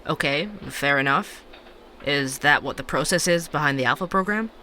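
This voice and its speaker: A man's voice: on the high side, normal speed, bright, forceful tone, slight background noise. A man playing a candid, wry investigative podcast host in an audio drama, speaking conversationally. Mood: curious, hesitant. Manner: conversational, wry, hesitant asides. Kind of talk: fictional podcast narration and dialogue.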